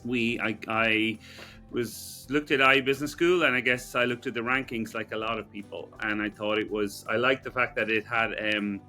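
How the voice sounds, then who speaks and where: A middle-aged Irish man, a professor guest, telling his story in a relaxed, conversational way. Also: on the low side, moderate pace, soft background music. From Ireland. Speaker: guest, business school professor. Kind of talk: conversational interview.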